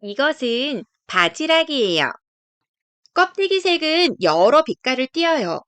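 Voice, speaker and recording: Lively high-voiced woman reading to children. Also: a little slow, dry recording. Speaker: storyteller reading a children's nature book. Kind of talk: scripted children's picture-book narration.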